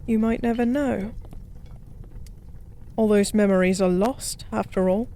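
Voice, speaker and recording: A woman playing a forgotten goddess as a tipsy pub stranger in an intimate audio roleplay, speaking softly in slow, wistful philosophical rambles. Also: medium pitch, steady tone, lightly acted. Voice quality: soft. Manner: slow, musing, rambling, teasingly cryptic.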